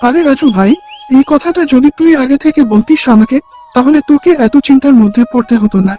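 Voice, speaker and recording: A helpful young village pal from a cartoon, put on by a man. The voice is pitched very high for a male. He sounds friendly, eager and concerned, with soft music underneath. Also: brisk. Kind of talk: Bengali cartoon story dialogue.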